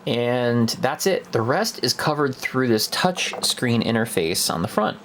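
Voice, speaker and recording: A man's voice: mid-range voice, sing-song, unhurried, a bit bright, a little hiss or hum. A young man giving a casual, unscripted product review in a hesitant, conversational way, as if thinking aloud.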